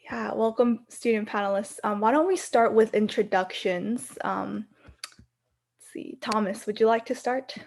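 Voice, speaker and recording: A woman's voice: mid-range voice, measured pace, creaky, a little mellow. A woman moderating an online webinar panel over a video call, in a friendly, um-filled way.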